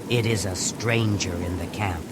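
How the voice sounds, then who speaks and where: A man reading scripted dramatic fantasy game narration in a measured, ominous storytelling manner. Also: low-pitched, steady tone, very noisy.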